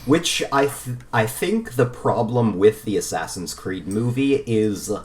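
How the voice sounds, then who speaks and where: A man's voice: lowish voice, expressive, a little slow. A man co-hosting a gaming podcast, explaining his views in informal, casual chat. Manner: informal, sweary, reasoned explaining. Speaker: podcast co-host.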